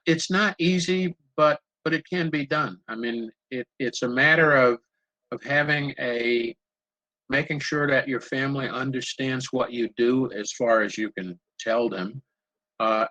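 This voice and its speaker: Older man, bright unhurried anecdotal voice. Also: medium pitch, no echo, low-quality recording. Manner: unhurried, anecdotal, repeats words as he searches. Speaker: panel moderator. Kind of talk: conversational panel answer. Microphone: video call.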